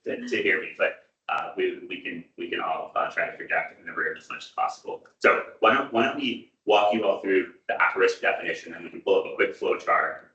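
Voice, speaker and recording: A man with a very low, bright, loud voice who projects into the room to run a workshop. The sound is dull and echoey, and the mic is far from him. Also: brisk. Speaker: meeting facilitator. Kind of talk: facilitating a workshop. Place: meeting room.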